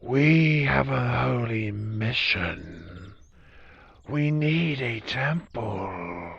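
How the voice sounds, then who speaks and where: A man voicing a giant, ominous celestial snail monster in an audio horror play, hissing and gravelly, with a slow, solemn delivery. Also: medium pitch, lively intonation, lo-fi sound, a crowd in the background, pitched above the performer's own voice, full character voice.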